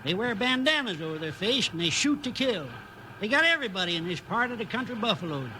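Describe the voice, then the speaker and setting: High-voiced folksy riverboat captain. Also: male voice, big pitch swings, fast, sharp tone, heavily acted. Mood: grave. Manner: folksy nautical drawl, barks orders. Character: seasoned, folksy steamboat captain of the Old West. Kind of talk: 1950s radio western acting. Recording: noisy old 1950s radio recording.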